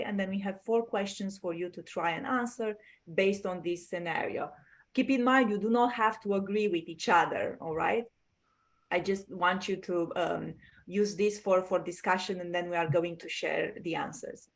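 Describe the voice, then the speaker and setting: A woman's voice: mid-range voice, normal speed. A woman from Romania teaching an online class over a video call, explaining patiently and checking that her students follow.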